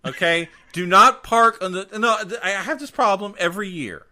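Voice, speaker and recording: A man's voice: high-pitched, normal speed, bright, forceful tone. A man co-hosting a podcast in casual chat, joking and grumbling in long rambling rants. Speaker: podcast co-host.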